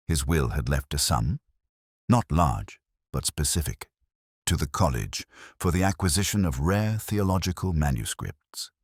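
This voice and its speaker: Very deep-voiced male detective-story narrator. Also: husky, no room sound, clean studio sound. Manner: measured, brooding, deliberate short phrases. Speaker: audio drama narrator. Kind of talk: first-person detective story narration, literary.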